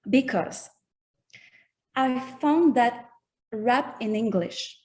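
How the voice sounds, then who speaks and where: A woman's voice: on the high side, extremely slow, darkish tone. A woman with a French accent, a native French speaker, talking as a musician guest in an interview and carefully searching for her words in English. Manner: thoughtful, searching for words in a second language. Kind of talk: casual interview chat.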